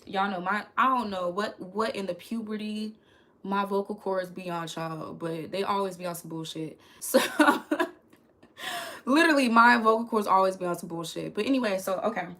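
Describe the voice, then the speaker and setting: Young woman, crackly voice, candid chatty storyteller, mid pitch. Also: normal speed, a bit bright. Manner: chatty, candid, talks to viewers as "y'all". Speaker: YouTuber telling a story. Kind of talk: casual storytime vlog.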